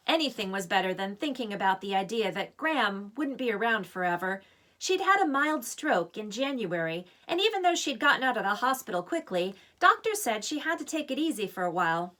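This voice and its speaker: A woman reads a children's novel aloud as its author. Her voice sits fairly high and bright, and her expressive narration moves with very lively pitch. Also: moderate pace.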